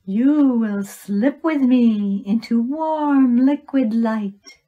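A woman's voice: fairly high pitch, very slow, phone-quality sound. A woman playing an eerie, coaxing water spirit in an audio play, luring someone with a hypnotic manner. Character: eerie female water spirit luring a boy to her pool.

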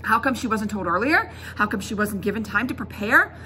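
A middle-aged woman reading a children's book aloud as a teacher, expressive, emphatic and instructive. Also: mid-range voice, brisk. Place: indoors in a school classroom. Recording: classroom recording with background noise.

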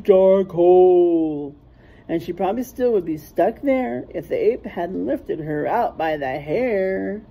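Woman, middle-aged, teacher reading rhymes to kids; deliberate and unhurried, slightly rough-edged husky voice. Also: medium pitch, warm tone, low-quality recording. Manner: sing-song, deliberate, stressing rhyming words and letters. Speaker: teacher reading aloud to young children. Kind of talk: read-aloud of a rhyming picture book with teaching asides. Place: small classroom, indoors.

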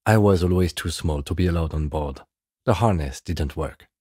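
An actor playing a composed, dry police detective in a video game, speaking in a measured, restrained, matter-of-fact way with a soft husky edge. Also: male voice, deep voice, no room sound. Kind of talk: video game dialogue acting.

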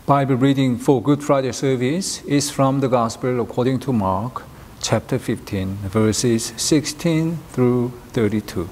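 A middle-aged man leading church worship as minister, reading prayers and scripture in a solemn, measured, formal way. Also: mid-range voice, a little mellow, slight background noise. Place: inside a church, at a pulpit. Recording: long echoing hall reverb.

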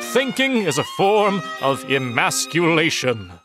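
A man with a high, very lively voice narrates theatrically. His delivery is grand and dramatic, with music playing behind him. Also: slow. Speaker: narrator of a comic dub.

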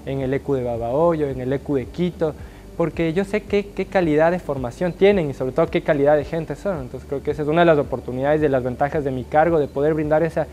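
Earnest young man with a highland accent. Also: warm tone, a little hiss or hum. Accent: Ecuadorian highland (serrano). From Guayaquil, Ecuador. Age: young adult. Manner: earnest, upbeat, with frequent restarts and fillers. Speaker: alumnus interviewee. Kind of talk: sit-down testimonial interview. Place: small studio set.

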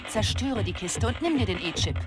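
An actress playing a brisk, easily exasperated military squad leader in a video game, with crisp commanding delivery. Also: female voice, medium pitch, big pitch swings, over loud music, lightly acted. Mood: brisk briefing. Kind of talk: video game voice acting, German dub.

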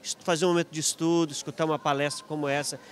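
A man's voice: a little high, little pitch movement, rapid-fire. A man speaking earnestly in an event interview, searching for words as he reflects, into a handheld reporter mic. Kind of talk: reflective vox pop interview.

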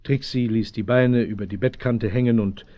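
A man as the measured, suspenseful narrator of a German children's radio play, with a husky voice. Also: medium pitch, fairly even intonation, close to an everyday voice. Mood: storytelling. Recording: muffled 1970s radio play recording.

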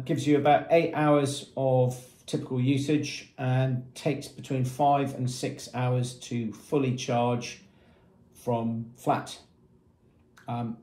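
A man in his fifties giving a matter-of-fact product demonstration, explaining features with hesitant pauses. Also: mid-range voice, mellow. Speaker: product presenter for a marine shop. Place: small indoor room with painted block walls. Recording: echoey small room.

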